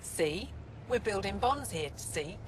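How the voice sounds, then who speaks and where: An actress playing a cheeky, eager alien lookout soldier in a video game, chatty in manner. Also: female voice, medium pitch, animated, measured pace, close to an everyday voice. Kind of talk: dramatic game dialogue. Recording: clear ambient noise behind.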